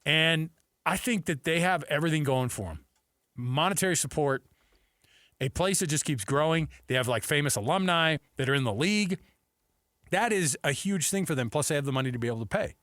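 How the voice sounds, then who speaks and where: A man's voice: a little high, animated, fairly quick, fairly bright tone, no echo, clean studio sound. A man hosting sports talk radio, giving opinions emphatically and repeating his points for weight. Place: radio studio.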